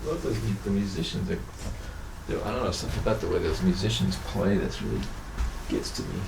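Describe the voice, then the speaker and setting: A man chatting informally about music and whatever comes up, quiet within a noisy recording. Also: deep voice, a little fast, vocal fry. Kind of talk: casual music chat.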